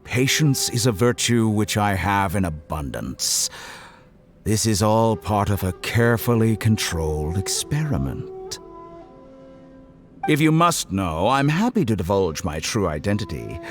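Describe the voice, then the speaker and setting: An actor playing a cold, scheming male scientist villain who speaks in a smooth, coolly mocking way. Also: fairly low pitch, sing-song, unhurried, music in the background. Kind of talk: game cutscene voice acting.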